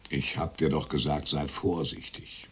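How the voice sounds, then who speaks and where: An actor playing a weary, gruff police captain who speaks in an exasperated way. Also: male voice, extremely low pitch, steady tone, unhurried. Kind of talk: radio drama acting.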